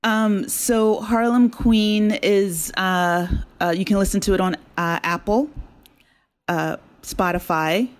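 A woman talking casually as an interview guest, chatty and appreciative, quick to laugh. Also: medium pitch. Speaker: interview guest, audio drama creator.

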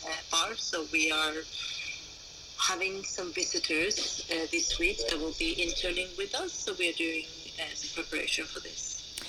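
Female interview guest from Norway, unscripted and pausing often, recorded over noticeable ambient noise. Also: deep voice, steady tone. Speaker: interview guest, bar owner. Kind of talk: casual interview answers. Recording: clearly noisy, background ambience. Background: background noise of an open bar.

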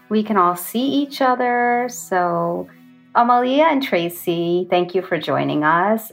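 A woman hosting a podcast from her home office over a video call, asking encouraging, inquisitive questions. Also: medium pitch. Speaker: podcast host. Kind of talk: friendly interviewing over a call.

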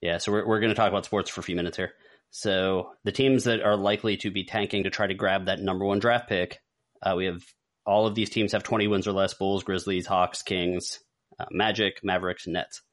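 A man's voice: low voice, steady tone, fairly quick, fairly bright tone. A man hosting a podcast in a casual, conversational panel chat. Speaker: podcast host.

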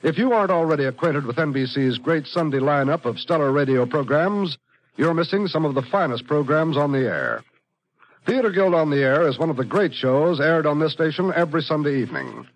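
A man's voice: on the high side, animated, brisk, a little hiss or hum, rough recording. An American man announcing in a scripted, polished and declamatory 1950s network radio style.

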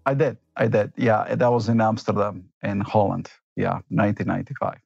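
A man in his fifties with a low, gravelly voice answers interview questions in a reflective, rambling way, full of fillers and tag questions. He is from Argentina and Italy. The recording is dry and a little dull. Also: level tone, moderate pace, a little mellow, no echo, faint music behind. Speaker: company executive, interview guest.

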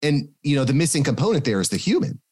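A man who runs a podcast agency, moderating a casual live chat and telling stories in a relaxed way. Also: on the high side, fast, breathy edge. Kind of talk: casual conversation.

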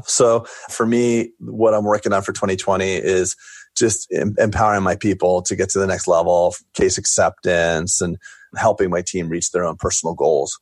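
A talkative man in his forties with a very low voice that has a gravelly, husky edge. He speaks in a relaxed, chatty way, thinking out loud. The sound is close and dry. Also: no room sound. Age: 40s. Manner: talkative, reflective, jokey. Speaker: dentist guest. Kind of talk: casual podcast interview.

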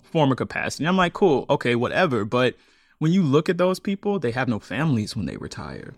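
A man in his thirties, a podcast guest, telling his story in a conversational interview with quick laughs. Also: medium pitch, big pitch swings, vocal fry, no echo, clean studio sound.

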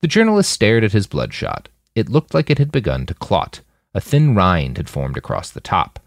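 A man's voice: fairly low pitch, wildly swooping pitch, moderate pace, no room sound, clean studio sound. A man reading scripted fiction as the narrator of an audiobook-style podcast.

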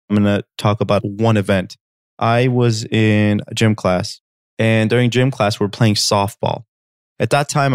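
A man's voice: fairly low pitch, smooth, dry recording, studio quality. A man in his thirties from Armenia, a podcast host telling a personal story in an earnest, direct way and checking in with listeners. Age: 30s.

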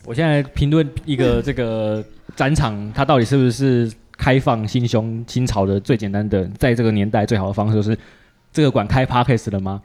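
A man's voice: medium pitch, brisk, a little mellow. A man hosts a casual conversational interview in a mid-range voice with a Taiwanese Mandarin accent. He is informal and opinionated, with a wry way of putting things. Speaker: podcast host.

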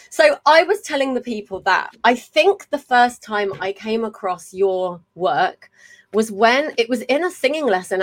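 A woman, hosting a casual chat over a video call, talkative and quick to laugh and swear. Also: medium pitch. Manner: chatty, swears freely, laughs often. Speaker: podcast host. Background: faint fan hum.

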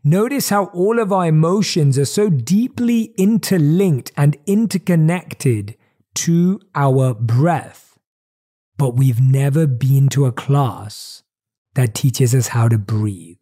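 A man giving a motivational talk, telling a reflective story and slowing down and stretching words to stress them. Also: on the high side, animated, warm tone. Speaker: host.

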